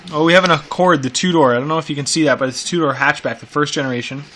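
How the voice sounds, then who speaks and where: A man's voice: on the high side, very fast, pushed and bright, slight background noise. A man giving casual, off-the-cuff commentary like a car enthusiast, with wry, enthusiastic asides muttered to himself. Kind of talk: casual off-the-cuff commentary while browsing classified ads.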